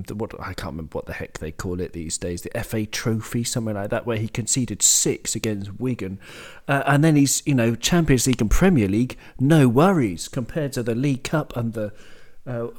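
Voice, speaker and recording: Guy hosting a footy podcast, blunt, swears a lot, mid-pitched voice. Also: darkish tone. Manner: swears freely, blunt and opinionated. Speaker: podcast host. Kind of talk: casual football podcast chat.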